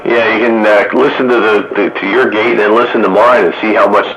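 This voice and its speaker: A man's voice: on the low side, steady tone, fairly quick, fairly bright tone, rough recording. A man talking casually over two-way radio as a hobbyist in a radio roundup. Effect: two-way radio transmission. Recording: CB radio with clear static noise.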